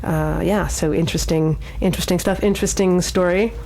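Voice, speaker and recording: A female radio host with a slightly low voice talks casually. She rambles through her storytelling, often doubling back and repeating phrases. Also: fairly even intonation, a little fast, darkish tone. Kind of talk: casual spoken anecdote on community radio.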